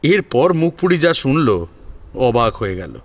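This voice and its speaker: Male storyteller with very animated pitch. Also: measured pace, no echo. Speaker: story narrator. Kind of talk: dramatic scripted narration of an audio story. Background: faint background music.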